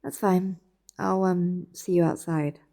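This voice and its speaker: A woman's voice: lowish voice, fairly even intonation, takes it slowly, gravelly, dark tone. A woman playing an anxious, suspicious journalist in an audio drama, speaking in a hesitant, questioning way. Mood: apologetic, tired.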